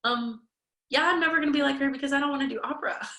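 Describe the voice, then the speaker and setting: A woman's voice: sits high, rapid-fire, pushed and bright, roomy sound. A young woman, a dancer and fitness instructor, talking openly and reflectively as an interview guest, with lots of fillers. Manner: open, self-reflective, talks with many fillers. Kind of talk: candid conversational interview.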